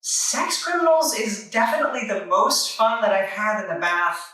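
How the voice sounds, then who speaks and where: A bright-voiced show host reviews in a casual, playful way with tongue-in-cheek asides. The voice is very echoey and sits back from the mic, with music underneath. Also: mid-range voice, big pitch swings, moderate pace, recorded from a distance.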